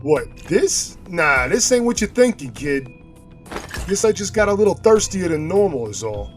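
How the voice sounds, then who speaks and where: An actor playing a boastful, belligerent drunken gangster father with rough streetwise talk in a comic-book audio drama. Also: high-pitched, big pitch swings, normal speed, soft background music. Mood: boozy bragging.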